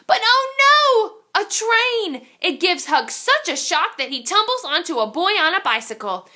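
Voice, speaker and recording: A woman's voice: very high-pitched, big pitch swings, unhurried, sharp tone. A woman reading a children's picture book aloud in a theatrical, suspenseful way, drawing out her exclamations. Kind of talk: animated children's story read-aloud.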